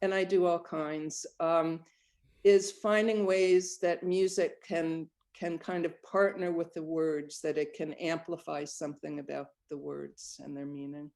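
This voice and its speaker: An older woman composer answering interview questions thoughtfully, often pausing with ums as she searches for words. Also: deep voice, steady tone, gravelly, darkish tone. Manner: reflective, searching, with frequent ums.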